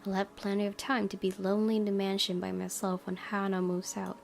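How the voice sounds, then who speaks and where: A woman game streamer reading a visual novel aloud as expressive narration. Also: mid-range voice, a little fast, gravelly, soft background music.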